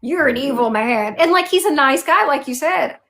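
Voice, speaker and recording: A woman's voice: high-pitched, expressive, moderate pace, a bit bright. A woman with a Southern American accent, interviewing in a casual chat with an effusive, colloquial, emphatic manner. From southern United States.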